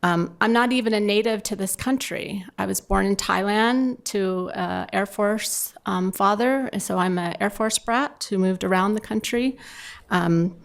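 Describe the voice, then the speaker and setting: A woman's voice: medium pitch, measured pace, creaky. A middle-aged woman giving informal panel remarks at a conference, talking conversationally and directly to the audience. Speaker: panelist from a municipal water provider.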